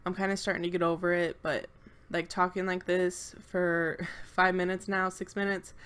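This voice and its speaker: A woman's voice: on the low side, flat delivery, moderate pace, fairly bright tone, clear, resonant tone. A young woman with a congested, stuffed-up nose, talking casually and nervously over a game build at home, rambling with lots of fillers. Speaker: channel co-host.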